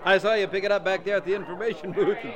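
An American man playing a loud, chummy, pushy traveling salesman in 1940s radio drama style, folksy and over-familiar. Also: high-pitched, steady tone, very fast, lo-fi sound, lightly acted. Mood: chummy, blustering. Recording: old radio recording, busy crowd behind.

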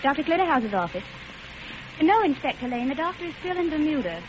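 An actress playing a courteous, businesslike doctor's secretary in a 1940s radio drama. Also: female voice, high-pitched, very animated, a little fast. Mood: polite, businesslike. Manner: polite, businesslike phone manner. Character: prim, courteous female doctor's secretary or nurse. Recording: dull, sizzling, noisy old radio recording.

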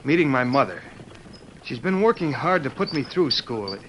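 A man's voice: medium pitch, sing-song, normal speed, a bit bright. An actor playing an earnest, determined man in his twenties in an old radio western. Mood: bitter, determined. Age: 20s. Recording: noisy old radio recording.

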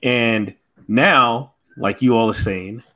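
Mid-pitched man giving casual opinions. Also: takes it slowly, pushed and bright. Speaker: podcast panelist. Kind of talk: casual opinionated review chat.

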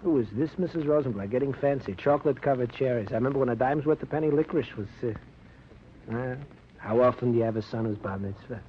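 A man's voice: mid-range voice, quick pace, lightly acted. Man playing fussy chatty little New shopkeeper. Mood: busy, chatty. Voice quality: hesitant, wordy, mock-formal phrasing. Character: chatty, fussy, good-natured little male candy store owner. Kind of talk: 1940s-50s radio drama acting. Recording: muffled, noisy old radio recording.

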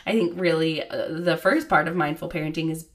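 A woman in her thirties hosting her own podcast as a child therapist, chatting casually with lots of "like" and "you know", a little hoarse from a cold. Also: sits low, steady tone, brisk. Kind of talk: casual solo podcast talk.

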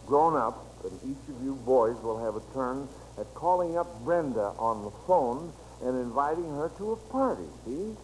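A man's voice: medium pitch, a little mellow, rough recording. Male American quiz show host for vintage radio, encouraging delivery, faint noise of an old recording. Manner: encouraging, praising the contestants. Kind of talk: 1940s-50s radio quiz show hosting.

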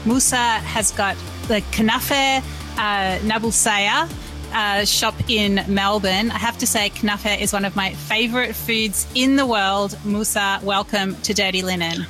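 A woman's voice: mid-range voice, steady tone, unhurried, sharp tone, faint music behind, poor audio. A woman hosting a podcast, asking friendly, curious questions. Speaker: podcast host. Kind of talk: podcast interview.

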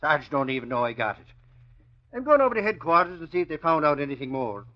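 Blustering high-pitched newspaper reporter. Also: male voice, sing-song, fast talker. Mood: confiding. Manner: blustery, colloquial, folksy exclamations. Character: excitable, blustering, brash male newspaper reporter. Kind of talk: 1940s radio drama acting. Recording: dull old radio recording.

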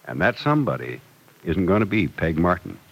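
Folksy old radio-serial codger, swooping delivery. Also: male voice, sits low, very animated, fairly quick, slightly rough, exaggerated voice. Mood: foreboding. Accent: American. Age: older. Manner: folksy, homespun phrasing. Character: folksy, stubborn, wry old man, about sixty, suspicious. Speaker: radio drama actor. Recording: vintage radio recording.

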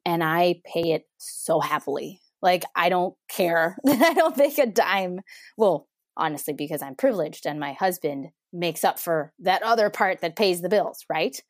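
Chatty mexican-born woman in her 30s, lowish voice, laughs a lot, dry close sound. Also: dry recording. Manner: chatty, laughs often, many restarts. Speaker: interview guest. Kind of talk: casual conversation.

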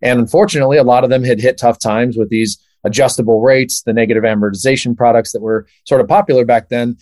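A man's voice: mid-range voice, fairly even intonation, quick pace, a little mellow, no room sound. A man in his thirties from Southern California, answering interview questions eagerly with many fillers. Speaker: guest, startup founder.

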